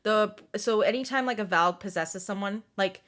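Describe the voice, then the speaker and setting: A woman's voice: mid-range voice, normal speed, a crowd in the background. A woman hosting a group book discussion, talking casually and informally, often saying "like".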